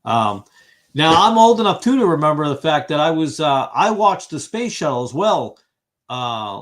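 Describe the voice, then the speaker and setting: A man in his fifties from Massachusetts hosts a relaxed livestream fellowship talk, his voice sitting at an ordinary middle pitch. He rambles and thinks out loud, full of "uh"s and restarts, and answers viewers as their comments come in. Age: 50s. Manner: rambling, thinks aloud with many fillers; reacts to viewers' comments as he goes. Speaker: host of an online fellowship chat. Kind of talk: casual livestream group conversation.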